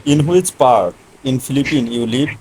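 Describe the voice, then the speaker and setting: Man in his 30s, Bangladeshi accent, simple repeated questions, mid-pitched voice, noisy call line. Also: moderate pace, darkish tone. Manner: simple non-native English, repeats questions. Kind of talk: casual video chat.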